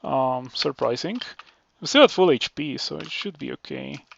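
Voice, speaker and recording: A man from Mexico with a Mexican Spanish accent and Spanish as his first language, calmly commentating a live game stream and thinking aloud with many fillers. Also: medium pitch, moderate pace, creaky, dull audio, a little hiss or hum.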